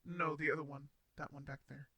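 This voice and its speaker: A man's voice: fairly high pitch, level tone, fairly quick, bright, forceful tone, full character voice. A man playing a shy, flustered, kindly robot roommate in an intimate audio drama, speaking hesitantly with stammers. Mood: gentle instructing. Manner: stammering, hesitant, nervous laughs, sighs. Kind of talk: intimate roleplay audio drama addressed to the listener.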